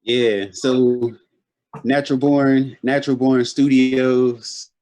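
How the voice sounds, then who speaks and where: A man in his late twenties talks over a video call for a podcast interview. He is relaxed and rambling, trailing off and circling back as he searches for words. His voice sits at an ordinary middle pitch. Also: slow pace, low-quality recording. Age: 20s. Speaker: guest artist.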